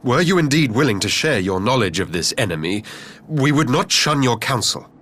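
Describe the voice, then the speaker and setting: An actor playing a formal, earnest imperial envoy in a video game, speaking in a measured, diplomatic way. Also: male voice, mid-range voice, very animated, slight background noise. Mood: courteous. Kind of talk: video game cutscene acting. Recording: loud game music behind.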